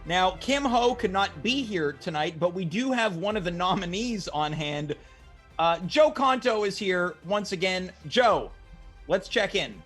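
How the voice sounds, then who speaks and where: A man's voice: sits high, lively intonation, measured pace, a bit bright, slightly rough, soft background music. A man hosting a comedic mock awards show in a mock-formal, announcer-like manner.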